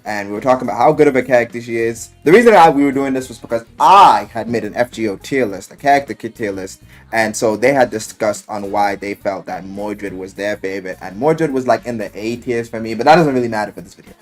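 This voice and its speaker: A man's voice: lowish voice, fast. A man giving casual, loosely scripted video commentary, rambling and emphatic, with slangy, profane talk. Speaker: YouTuber reviewing a visual novel. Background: music.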